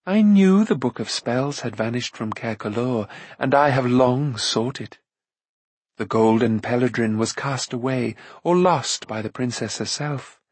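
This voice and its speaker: A man's voice: medium pitch, unhurried, a little mellow, husky, dry recording. A man voicing an imperious, cunning and cruel enchantress in an audiobook, with haughty, formal, commanding speech. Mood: silky, subtle. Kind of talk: audiobook character acting.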